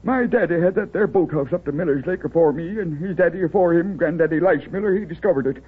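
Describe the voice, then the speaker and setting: An actor playing a worried, humble small-town boathouse owner in a 1930s radio drama, with a rural American accent and a hesitant, stammering, folksy manner. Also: male voice, a little high, fast talker, slightly rough. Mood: hesitant, uneasy. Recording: muffled, tinny 1930s radio recording.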